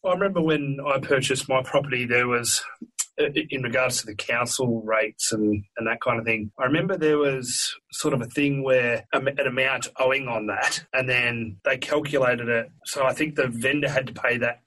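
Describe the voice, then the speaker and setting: A man's voice: medium pitch, normal speed, sharp tone, poor audio. A man co-hosting a podcast, talking and asking questions in a casual conversational style. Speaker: podcast co-host.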